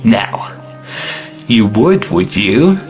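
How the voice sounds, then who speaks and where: A woman acting a cocky, amorous young gentleman suitor in a stage comedy audio play. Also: extremely low pitch, big pitch swings, drawn-out words, creaky, soft background music, full character voice. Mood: teasing, triumphant.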